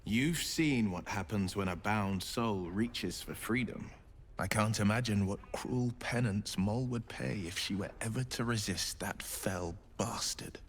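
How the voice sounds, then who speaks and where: An actor playing an eloquent, brooding gentleman adventurer in a video game, speaking in a florid, earnest, theatrical manner. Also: lowish voice, measured pace, a little hiss or hum. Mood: concerned, grave. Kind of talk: dramatic video game dialogue.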